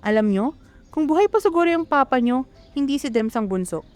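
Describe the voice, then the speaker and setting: A woman's voice: high-pitched, lively intonation, fairly quick, darkish tone, soft background music. A woman with a Filipino accent playing a chatty, teasing middle-aged mother of three in an amateur radio drama. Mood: playful, nostalgic.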